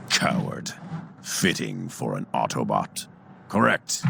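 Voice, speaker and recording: A man playing a cold, calculating robot villain in a video game, haughty and measured, with a gravelly voice and formal delivery. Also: heavily acted. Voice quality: very deep, gravelly. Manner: measured, clinical, formal, condescending.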